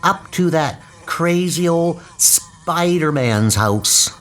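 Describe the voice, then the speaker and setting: A man voicing a scornful, teasing girl in a comic book dub, with a mocking drawn-out delivery. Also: fairly high pitch, animated, fairly bright tone.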